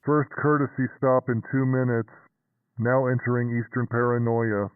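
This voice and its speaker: Man doing a smooth, flat trolley announcer over a radio. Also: mid-range voice, normal speed, no room sound, voice pushed down. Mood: formal, flat. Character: automated trolley announcer, formal and measured male voice. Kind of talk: transit announcement in an audiobook. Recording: muffled, band-limited radio-style sound.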